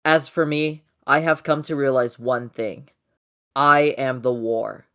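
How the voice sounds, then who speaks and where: Solemn male robot leader for a fan comic dub, acted by an amateur reader: mid pitch, measured, oratorical, noble. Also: steady tone, fairly bright tone, clean tone.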